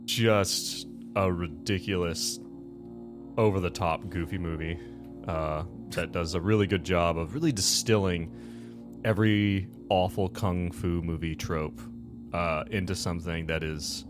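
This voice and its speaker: A man's voice: deep voice, steady tone, a little slow, over music. A man hosting a tabletop game show as game master, chatting and recapping casually in a rambling way, often correcting himself.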